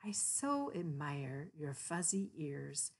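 A woman voicing a gentle, hesitant male unicorn in a storybook, confiding in a hushed way. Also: low voice, very animated, slow pace, husky, full character voice. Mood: shy, confiding.

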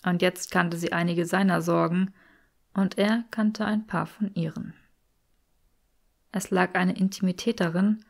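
A woman's voice: lowish voice, vocal fry, a little mellow. A woman reading fiction aloud as an audiobook narrator. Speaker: audiobook reader.

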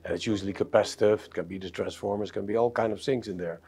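A man's voice: lowish voice, steady tone, fast talker, dark tone, slightly rough. A middle-aged man giving a technical product presentation in an indoor exhibition room. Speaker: audio company presenter.

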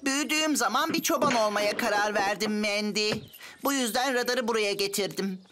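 A woman's voice: medium pitch, fairly quick, over music, natural voice. A woman voicing a cheeky, boastful young schoolboy in a children's cartoon dub, cocky and persuasive. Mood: eager, boastful.

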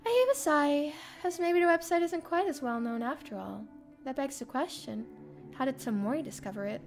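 A woman streamer reading a visual novel aloud as first-person narration, expressive and theatrical. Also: sits high, normal speed. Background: game music.

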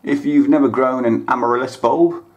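A man's voice: medium pitch, fairly even intonation, moderate pace, dull audio. A man, hosting a casual gardening how-to, chatting plainly and talking straight to his viewers at home. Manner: chatty, plain-spoken, talks to viewers directly. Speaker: gardening channel host. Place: indoors at home, kitchen counter.

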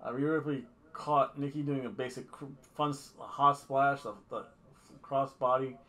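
A man's voice: medium pitch, fairly even intonation, normal speed, bright, forceful tone. A middle-aged man giving casual, rambling, jokey commentary as a review host, recorded at a webcam in a home room. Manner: jokey, rambling, slips into mock accents. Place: small home room indoors.

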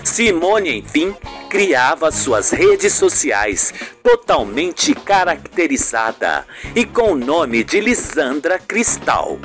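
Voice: mid-range voice, wildly swooping pitch, slow pace, fairly bright tone, faint music behind. A narrator telling a scripted radio soap opera story.

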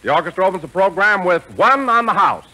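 A man's voice: sits high, animated, quick pace, low-quality recording. A man working as announcer and sidekick on a 1940s radio comedy show.

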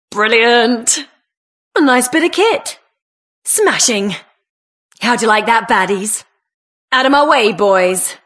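A woman's voice: on the high side, fairly bright tone. A woman with a British accent playing a plucky, cheeky builder hero in a video game. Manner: cheeky, plucky, punchy short exclamations.

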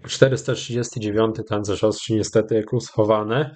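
A Polish man hosting a gaming channel, giving casual, rambling commentary with hesitant, drawn-out pauses. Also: fairly low pitch, fairly even intonation, darkish tone. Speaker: YouTube gaming host. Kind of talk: casual commentary over gameplay.

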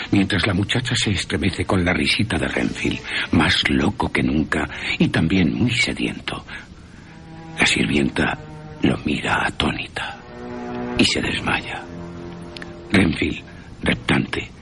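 Male narrator, Castilian Spanish accent, radio horror: low gravelly voice, theatrical suspense. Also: sharp tone. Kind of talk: dramatic radio horror storytelling.

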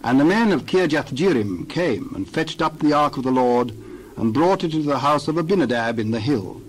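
A man giving a dramatized Bible reading in a steady, formal way. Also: medium pitch, normal speed, slight background noise, low-quality recording. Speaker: Bible reader.

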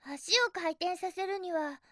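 A woman voicing a feisty, bossy but caring young girl hedgehog in a video game, with energetic, girlish delivery. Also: very high-pitched, unhurried, no echo, clean studio sound. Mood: thoughtful, chatty. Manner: energetic, girlish, emphatic feminine phrasing. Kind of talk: Japanese video game character voice acting.

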